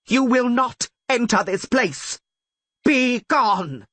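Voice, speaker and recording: A man's voice: mid-range voice, big pitch swings, normal speed, a bit bright, breathy edge, no room sound. A man voicing an imperious older female archmage in an audiobook, speaking in formal, proclamatory tones. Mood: commanding. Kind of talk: audiobook character voice.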